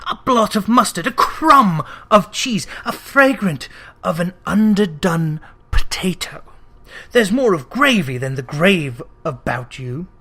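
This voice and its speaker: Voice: medium pitch, sharp tone, heavily acted. A storyteller playing a cold, skeptical old miser with a curt, caustic manner in a classic storybook tale.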